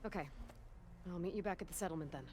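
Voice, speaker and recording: An actress playing an earnest, determined young female adventurer in a video game cutscene, speaking in a measured, understated, sincere way. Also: fairly low pitch, noisy background, lightly acted. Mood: focused.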